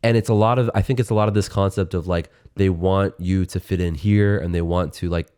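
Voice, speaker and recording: Young man, podcast co-host, very low voice, mellow dry sound, relaxed, questioning. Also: brisk, clear, resonant tone, no room sound. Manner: relaxed, questioning, hesitant restarts. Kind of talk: casual chat.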